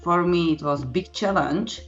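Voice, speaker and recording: A woman from Slovakia with a Slovak accent, a guest talking earnestly in halting English with many fillers. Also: on the low side, highs cut off, soft background music. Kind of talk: casual interview chat.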